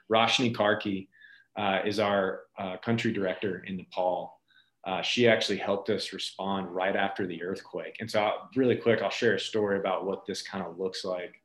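A man speaking as a nonprofit leader and interview guest, telling stories in an earnest, informal, conversational way. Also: fairly low pitch. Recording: roomy echo.

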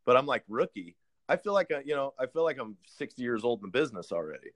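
A man's voice: medium pitch, sing-song, fast. A man in his thirties who works as a realtor, talking casually and earnestly, sharing advice and laughing at himself now and then. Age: 30s. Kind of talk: casual podcast conversation.

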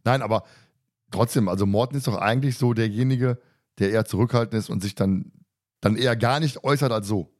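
A man's voice: fairly low pitch, fairly quick, a little mellow. A man co-hosting a casual discussion, talking in a colloquial, teasing way. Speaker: podcast co-host. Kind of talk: casual discussion of an audio drama.

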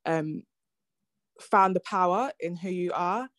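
A woman's voice: fairly low pitch, slow pace, a bit bright, no echo. A woman, hosting a podcast and thinking aloud in a casual, reflective conversation. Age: 30s. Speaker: podcast host.

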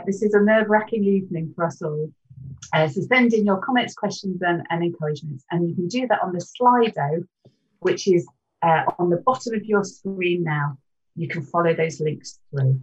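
A woman hosting an interfaith panel discussion in a measured, welcoming tone, now and then clearing her throat. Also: lowish voice, a little mellow, muffled recording. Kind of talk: presenting and leading a short prayer.

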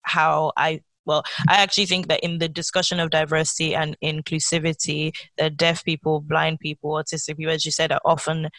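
Woman host, low steady voice, lots of yeahs and mm-hmms. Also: brisk, few pauses, no room sound. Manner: attentive, frequent short affirmations. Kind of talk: casual interview chat.

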